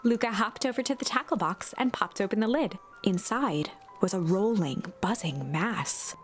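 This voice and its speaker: A measured storybook narrator, put on by a woman, telling a mystery game's tale with deliberate, dramatic pauses. The pitch is average, with music behind. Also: animated, close to an everyday voice. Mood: suspenseful. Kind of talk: scripted narration read aloud.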